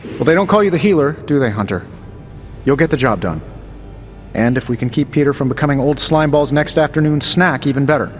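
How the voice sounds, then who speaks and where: An actor voicing a wisecracking genius inventor superhero in a video game, glib and sarcastic. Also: male voice, mid-range voice, lively intonation, brisk, lightly acted. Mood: teasing banter. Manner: glib, sarcastic, breezy banter. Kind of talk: video game dialogue acting. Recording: dull game audio, long reverb, music.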